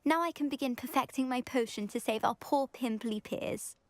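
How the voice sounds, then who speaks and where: A woman acts out a snobbish teenage schoolgirl entrepreneur in a fantasy game. She has a British accent and a highish voice, pompous and affectedly genteel, full of vanity. The recording is very dry and close. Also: moderate pace, no echo, natural voice. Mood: smug, pleased. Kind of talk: video game character acting.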